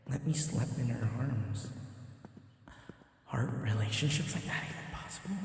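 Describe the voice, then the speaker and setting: A man's voice: medium pitch, a little mellow, a little hiss or hum. A man playing a timid, submissive human servant in an audio roleplay, speaking hesitantly and deferentially with stammers. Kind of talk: audio roleplay acting, one-sided dialogue.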